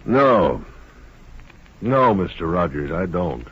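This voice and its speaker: An actor playing a slow, puzzled small-town sheriff in a radio western, with a folksy, halting drawl. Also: male voice, low voice, big pitch swings, exaggerated voice. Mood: troubled. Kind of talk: 1950s radio western acting. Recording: dull, noisy old radio recording.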